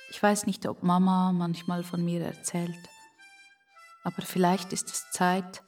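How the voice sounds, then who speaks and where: Woman reading as a quiet, tender, apologetic father. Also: fairly low pitch, monotone, measured pace, recorded from a distance, faint music behind, close to an everyday voice. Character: absent father writing a tender, apologetic letter. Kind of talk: read-aloud letter in children's audio story.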